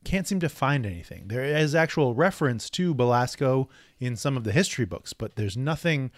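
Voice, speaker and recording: A man's voice: medium pitch, animated, fairly quick, no room sound. Male podcast co-host, casual comic recap, narrates panels, dry close sound. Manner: narrates the panels and reads dialogue aloud.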